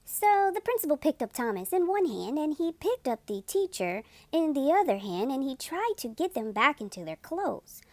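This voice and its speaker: Woman narrator for children's stories: emphatic, expressive, lively intonation, close dry recording. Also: high-pitched, dry recording. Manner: animated, deliberate, emphatic. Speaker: storytime host and reader.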